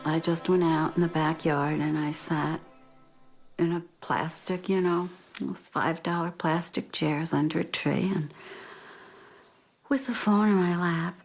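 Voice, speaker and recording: An older woman speaking in a measured, deliberate and plain-spoken way in a reflective documentary interview. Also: deep voice, fairly even intonation, soft background music. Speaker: bereaved mother giving testimony.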